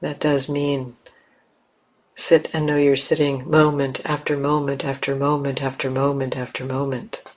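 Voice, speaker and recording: A woman leading a guided meditation as a teacher, speaking gently in simple, unhurried phrases with long pauses. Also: extremely low pitch, flat delivery, poor audio.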